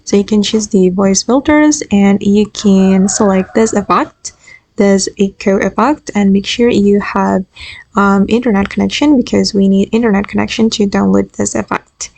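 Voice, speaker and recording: A woman hosting a software tutorial, narrating the steps in a casual, instructional way. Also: mid-range voice, normal speed, gravelly, no echo. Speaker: tutorial host.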